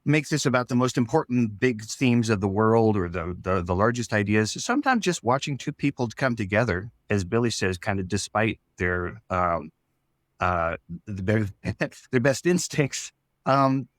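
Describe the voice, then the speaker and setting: A man giving advice in a casual interview, thoughtful and halting as he talks. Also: fairly low pitch, very animated, dry recording. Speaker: audio drama writer being interviewed.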